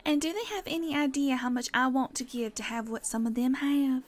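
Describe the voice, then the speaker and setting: A woman's voice: high voice, brisk, close to an everyday voice. An actress playing a guarded, self-doubting single woman in her thirties, defensive and emphatic, in a conversational audio drama. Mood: defensive, resigned.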